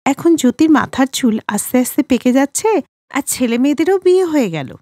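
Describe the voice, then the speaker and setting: A woman's voice: sits high, expressive, fairly quick, dark tone, dry recording, clean studio sound. A woman reading scripted narration for a cartoon family story as its storyteller.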